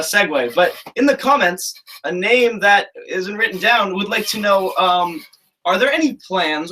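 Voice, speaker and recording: Young male host with a husky, bright voice. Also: fairly high pitch, low-quality recording. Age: young adult. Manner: eager, chatty, laughs easily. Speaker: show host. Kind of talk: casual interview. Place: bedroom with shelves of toys.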